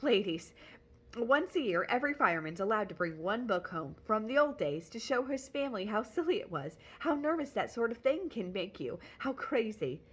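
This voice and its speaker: A woman's voice: on the low side, sing-song, unhurried, husky, exaggerated voice. A woman doing a brittle, falsely cheerful wife. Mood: coaxing. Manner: falsely bright, coaxing. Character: brittle, chattering wife covering up with forced cheer.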